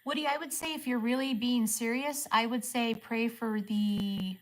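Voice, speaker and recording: A woman in her forties chatting casually with viewers on a livestream, asking friendly questions and murmuring softly when she reads comments. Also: a little high, fairly even intonation, normal speed, slight background noise, poor audio. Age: 40s. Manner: drops to a soft murmur when reading comments aloud. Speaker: live streamer.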